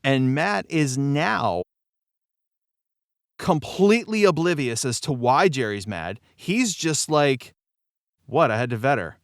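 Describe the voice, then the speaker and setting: A man with a clear, smooth voice in a casual panel chat. He talks like an animated storyteller, and the sound is close and dry. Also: medium pitch, slow, long pauses, no echo. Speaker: voice actor discussing his role.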